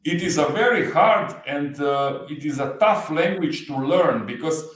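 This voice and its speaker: Slow, halting Slovenian-born man, echoey room. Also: on the high side, expressive. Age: 40s. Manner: halting, searching for English words. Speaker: guest. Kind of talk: casual livestream chat. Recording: roomy echo.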